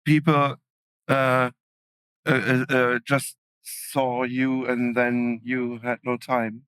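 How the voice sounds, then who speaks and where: A man hosting a casual interview, asking halting questions full of fillers. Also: medium pitch, flat delivery, a bit bright, smooth, no room sound, poor audio. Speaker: host.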